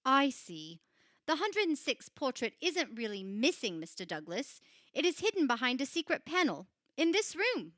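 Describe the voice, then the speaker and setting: A woman acting in a radio play as a grave, knowing churchwoman, telling of dark things in a measured, dramatic way. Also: high-pitched, big pitch swings, no echo, clean studio sound. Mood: polite, knowing. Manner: measured, solemn, dramatic storytelling.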